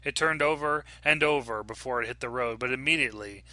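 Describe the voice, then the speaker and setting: Male story reader, mid-pitched, sharp and intense delivery, dry sound, dramatic fiction narration. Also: fairly quick, no echo.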